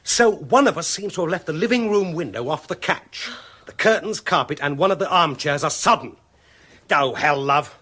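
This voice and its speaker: An actor playing a wry, grumbling but affectionate British husband in a radio drama, with a husky voice. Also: male voice, on the high side, expressive, moderate pace, sharp tone. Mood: exasperated. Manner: grumbling, mild swearing, British colloquial turns. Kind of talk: naturalistic radio drama acting.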